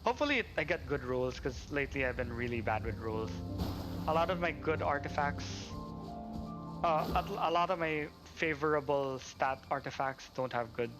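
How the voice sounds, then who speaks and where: A man's voice: mid-range voice, animated, moderate pace, poor audio. A man chatting casually on a game livestream, rambling and thinking aloud as he plays. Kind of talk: casual livestream chat while gaming. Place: at home. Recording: game music playing behind. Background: video game music.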